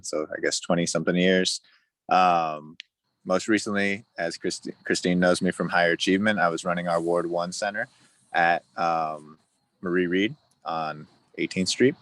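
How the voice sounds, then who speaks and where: Deep-voiced man explaining his job. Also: a bit bright, no echo. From El Salvador. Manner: friendly, earnest, thinks aloud. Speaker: school district family engagement staffer. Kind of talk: introducing himself at a virtual community meeting. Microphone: video call.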